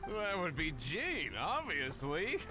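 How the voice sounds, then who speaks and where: Slow, tipsy male tavern drunk. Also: a little high, wildly swooping pitch, music in the background, exaggerated voice. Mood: tipsy admiration. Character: giggly, drunk male tavern regular, admiring and carefree. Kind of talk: anime video game acting. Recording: noisy game ambience behind.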